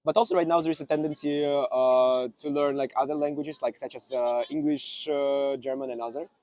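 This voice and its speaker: Young man, student guest; mellow tone, dry and close, halting. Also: mid-range voice, steady tone, no echo. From Kyrgyzstan. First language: Russian. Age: young adult. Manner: hesitant, many fillers and restarts. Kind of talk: casual conversation. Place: outdoor city park.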